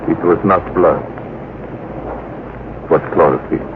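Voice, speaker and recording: An actor playing a learned expedition professor, measured, precise and grave, in vintage radio drama style. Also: male voice, low voice. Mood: solemn, explanatory. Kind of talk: 1940s-50s radio drama acting. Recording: tinny, noisy old radio broadcast.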